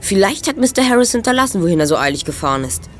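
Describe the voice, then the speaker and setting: A man's voice: sits high, very animated, very fast. Man playing a keen, observant teenage boy detective. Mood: eager, suspicious. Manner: eager, reasoning aloud. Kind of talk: amateur audio drama acting.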